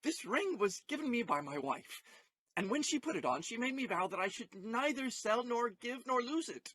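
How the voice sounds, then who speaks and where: A man acting an earnest, flustered young gentleman in a Shakespearean audio play, pleading and hesitant with emphatic delivery. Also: very high-pitched, big pitch swings, moderate pace, dry recording, exaggerated voice.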